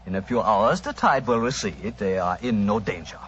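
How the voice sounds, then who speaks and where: A polite sinister doctor, deliberate but swooping voice, muffled old radio sound. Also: male voice, fairly low pitch, lively intonation, fairly bright tone. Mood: smug, soothing. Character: unhurried, falsely reassuring doctor accomplice, quietly menacing. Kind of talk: 1940s radio drama acting. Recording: noisy, dull 1940s radio recording.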